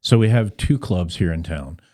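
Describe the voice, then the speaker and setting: Man, very low pitch, dark relaxed tone, earnest explanatory delivery, conversational podcast guest. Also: normal speed. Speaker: podcast guest, civic club member. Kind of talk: conversational interview.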